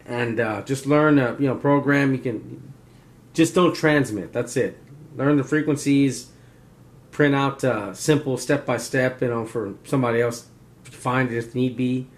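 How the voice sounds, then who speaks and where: A man's voice: mid-range voice, measured pace. A man hosting a preparedness video, explaining in a casual, unscripted, folksy way, rambling and often checking in with "right?". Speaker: survival and preparedness video host.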